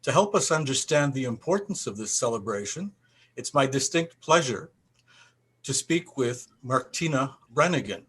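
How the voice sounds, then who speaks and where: Male interviewer and event host, mid-pitched. Formal, courteous, scripted-sounding delivery. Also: animated, normal speed, fairly bright tone, husky. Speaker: interviewer, festival president. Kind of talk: prepared introduction and interview questions.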